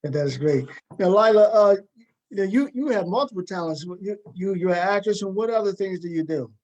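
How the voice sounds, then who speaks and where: A man's voice: high voice, animated, fairly quick, darkish tone, dull audio. A man hosting a talk show, asking questions in a casual interview style.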